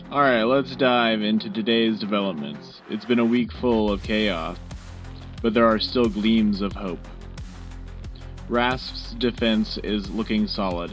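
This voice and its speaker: Guy playing earnest war news radio host, lowish voice, faint music behind. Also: steady tone, measured pace, close to an everyday voice. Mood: earnest, urgent. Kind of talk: scripted radio news broadcast.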